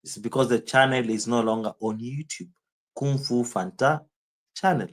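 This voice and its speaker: A man's voice: mid-range voice, moderate pace. Kenyan man rambling through a livestream. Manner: rambling, answers chat comments by name. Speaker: live streamer. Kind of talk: casual livestream commentary.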